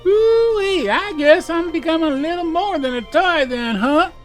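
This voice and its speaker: A man's voice: very high voice, lively intonation, normal speed, faint music behind. A man playing a wistful, huggable stuffed bear come to life in an audio drama, folksy and childlike. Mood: wide-eyed wonder. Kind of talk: cartoonish audio drama acting.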